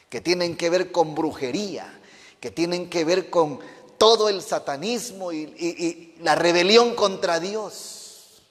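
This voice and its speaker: High-voiced pastor preaching fervently. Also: male voice, a bit bright. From Mexico. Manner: fervent preaching with rhetorical questions to the congregation. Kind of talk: sermon. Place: church. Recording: reverberant church hall.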